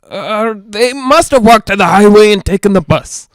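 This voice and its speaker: A man's voice: high-pitched, expressive, normal speed, no room sound. A man playing a grumpy, anxious, penny-pinching property owner in a 1940s-style radio drama, curt and suspicious. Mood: uneasy.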